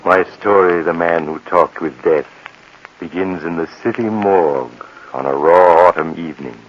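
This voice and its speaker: An actor playing a creepy, suave host of 1940s radio mystery tales, theatrical and sinisterly polite, on an old, noisy recording. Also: male voice, low voice, moderate pace, highs cut off, lightly acted. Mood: ominous, storytelling. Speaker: host and narrator. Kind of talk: 1940s radio horror narration.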